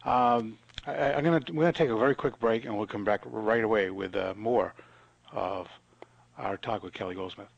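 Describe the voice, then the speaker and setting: Low-voiced hesitant male radio host. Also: fairly quick, creaky, husky, no echo. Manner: hesitant, many "uh" fillers. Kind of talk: interview. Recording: dull lo-fi broadcast sound.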